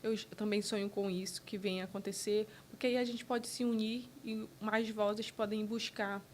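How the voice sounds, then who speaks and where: A woman from Brazil talks as a guest on a podcast, mid-pitched and earnest. She speaks in short, halting bursts and often restarts her sentences. A faint rumble sits under the recording. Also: fairly even intonation. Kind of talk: casual interview conversation.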